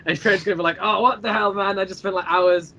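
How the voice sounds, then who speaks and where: A man in a casual podcast chat, explaining his own creative work in a rambling, halting way and repeating phrases as he goes. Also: high-pitched, steady tone, sharp tone, muffled recording, slight background noise. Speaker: guest, creator of an audio drama series.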